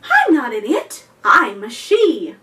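A woman's voice: sits high, huge pitch swings, slow, sharp tone, heavily acted. A woman voicing a vain, indignant female dugong who insists she is a mermaid, in an emphatic storybook manner. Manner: haughty, emphatic. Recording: distant mic with background noise.

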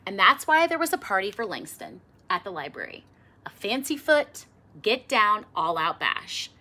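A middle-aged woman reading a children's picture book aloud expressively, with theatrical emphasis and drawn-out words. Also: medium pitch, sing-song, sharp tone. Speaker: storybook reader. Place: small indoor room with bookshelves.

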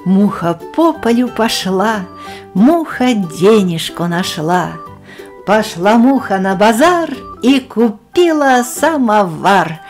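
Slow sing-song woman reading children's verse. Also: medium pitch, faint music behind. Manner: sing-song, rhythmic, lively. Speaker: storyteller reading a children's poem.

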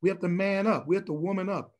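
An older man and church leader on a video call panel, speaking with forceful, preacher-like emphasis. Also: sits high, fairly even intonation, quick pace. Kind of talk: panel discussion. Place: room at home.

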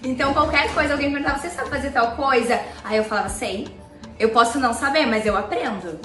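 Brief: young woman guest, gaúcho Brazilian accent, relaxed and chatty, voice a bit high, echoey room. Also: fast talker, far from the mic, faint music behind. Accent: southern Brazilian, gaúcho. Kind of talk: casual interview chat. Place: indoor living room on a sofa. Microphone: handheld mic from a few feet.

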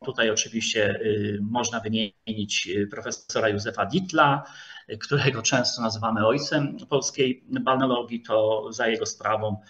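A man giving a formal online history lecture, speaking haltingly with frequent hesitation sounds and pauses as he searches for words. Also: fairly low pitch, fairly even intonation, fairly bright tone. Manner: formal, addresses listeners politely. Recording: dull lo-fi video call audio.

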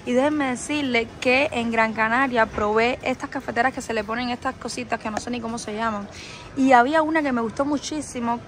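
A woman's voice: a little high, slight background noise. Chatty Cuban woman vlogger. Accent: Cuban Spanish. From Cuba. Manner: chatty, excitable, addresses viewers directly. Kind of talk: casual walk-through vlog. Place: supermarket aisle.

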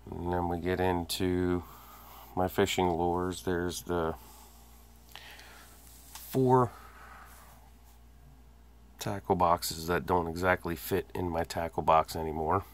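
Deep flat-voiced guy, slow and easygoing, walking through his gear room on his phone, faint room hum. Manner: plain, matter-of-fact listing with hesitant fillers. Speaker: hobbyist giving a tour of his fishing workshop. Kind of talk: casual walkthrough narration. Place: cluttered home workshop indoors. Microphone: handheld phone camera held by the speaker.

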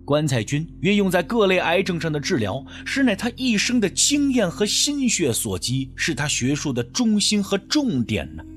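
Male narrator for suspense fiction: slightly high voice, highly expressive delivery, scripted and dramatic. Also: moderate pace, hardly any pauses, faint music behind. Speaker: audiobook narrator.

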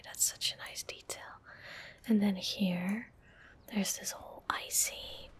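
A woman in her twenties giving calm, gentle ASMR game commentary in a breathy whisper, close to the microphone. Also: mid-range voice. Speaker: streamer narrating her gameplay. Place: indoor room at a gaming desk. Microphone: close desk microphone.

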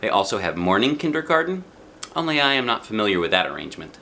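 Bright, animated American male storyteller. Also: lowish voice, fast, vocal fry. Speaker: children's book reader. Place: living room, indoors. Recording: noticeable background noise.

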